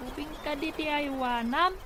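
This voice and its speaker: A woman voicing a curious little child in a radio drama, with drawn-out childlike questions. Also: sits high, background noise, close to an everyday voice. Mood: curious, plaintive.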